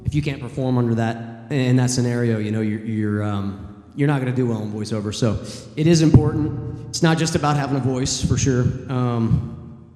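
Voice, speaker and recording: A man with a mellow, dark tone speaks on a convention panel, thinking aloud and circling his points with lots of fillers. His voice rings out in a big hall with long reverb. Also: mid-range voice, normal speed, faint music behind. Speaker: voice actor on a panel.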